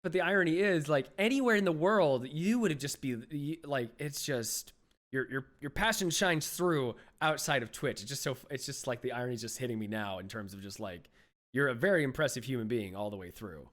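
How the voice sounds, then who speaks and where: A young adult man hosting a podcast, chatting loosely, with lots of restarts and fillers and his voice often trailing off quietly. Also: medium pitch, lively intonation, a little fast. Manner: rambling, many restarts and "like", drops to quiet mumbles. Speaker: podcast host. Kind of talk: casual interview chat.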